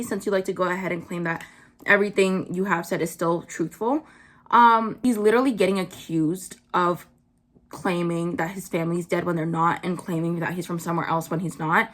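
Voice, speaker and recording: A young woman doing casual commentary, blunt and full of "like" fillers, her pitch jumping up when she gets worked up. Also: a bit bright. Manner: blunt, swears, many "like" fillers. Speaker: vlogger.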